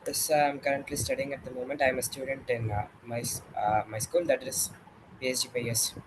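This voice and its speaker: A teenage student with an Indian English accent, a native Tamil speaker, answering mock exam questions in careful, formal phrasing. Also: male voice, medium pitch, level tone, brisk, noisy background.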